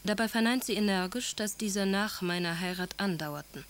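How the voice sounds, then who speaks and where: A pious, controlled wife voiced by an actress speaks in formal at a middle pitch. Her delivery is measured and severe, as in a literary radio play made of letters and confessions. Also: a bit bright, natural voice. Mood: stern, formal.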